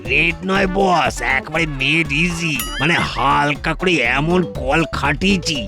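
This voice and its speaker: A man's voice: a little high, measured pace, gravelly. A man playing a boastful, cocky crook, the eager sidekick of a cartoon gang boss. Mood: smug. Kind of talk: comic cartoon dialogue. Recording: loud music bed under the voice.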